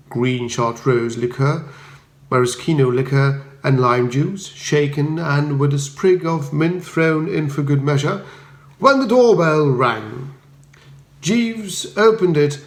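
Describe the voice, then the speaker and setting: A man with a fairly high voice narrates a comic audiobook. His delivery is measured and wry with a mock-grand air, recorded in an echoey room. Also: a bit bright. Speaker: audiobook reader. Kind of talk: comic first-person scripted narration. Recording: roomy echo.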